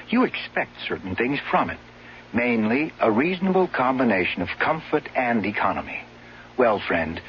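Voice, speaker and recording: A man's voice: lowish voice, a little hiss or hum. A man reading a scripted 1970s radio commercial in a friendly, folksy way. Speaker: commercial announcer. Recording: dull, humming 1970s radio recording.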